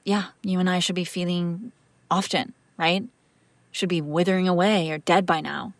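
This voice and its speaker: A woman's voice: fairly low pitch, fairly even intonation, normal speed, no echo, close to an everyday voice. A woman playing a female vampire master, an exasperated but protective caretaker, in a conversational, sardonic audio roleplay. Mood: calmer, explaining. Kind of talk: intimate audio roleplay acting, one side of a conversation.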